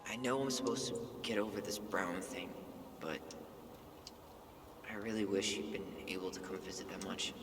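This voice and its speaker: A man's voice: low-pitched, normal speed, fairly bright tone. A man playing a sincere, hesitant young twin brother, acted naturally for a video game. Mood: earnest. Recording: noisy game capture with hall reverb.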